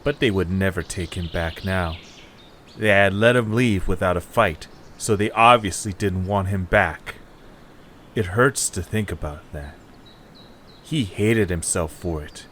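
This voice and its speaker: A man narrating an audio drama in a measured, steady storytelling manner. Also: low voice, slight background noise.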